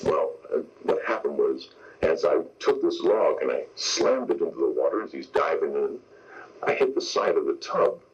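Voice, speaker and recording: An older man telling an anecdote casually in an interview, halting and plain-spoken. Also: rumbling low, expressive, breathy edge. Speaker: veteran radio sound effects man being interviewed. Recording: slightly muffled radio tape.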